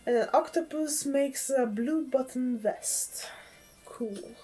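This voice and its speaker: A woman's voice: sits high, slow, warm tone, faint music behind. A woman from Poland with a Polish accent, chatting casually and easily as she streams a video game. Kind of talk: casual livestream game chat.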